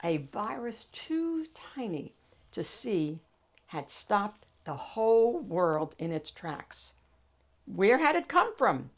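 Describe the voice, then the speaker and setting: A woman narrating a children's picture book in an expressive, emphatic storytelling manner with deliberate phrasing. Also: mid-range voice. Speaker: storybook reader, read-aloud host.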